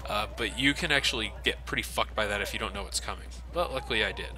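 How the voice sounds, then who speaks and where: A man's voice: lowish voice, fast, background noise. A man giving casual, joking, sarcastic commentary as he plays a video game, swearing freely. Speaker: Let's Play commentator playing the game. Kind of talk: casual gameplay commentary with profanity. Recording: game gunfire behind the voice. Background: video game gunfire and ambience.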